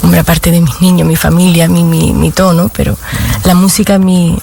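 A woman's voice: on the low side, level tone, normal speed, gravelly, mellow, slight background noise. A woman with an Andalusian accent, a flamenco singer from Granada, chatting in an interview, laughing often and talking in short loose fragments. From Granada, Spain. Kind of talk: casual interview chat.

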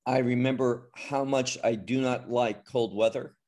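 Man answering in a halting way. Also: mid-range voice, steady tone, a little mellow. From United States. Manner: halting, with restarts. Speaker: guest, Bible teacher. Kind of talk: interview answers.

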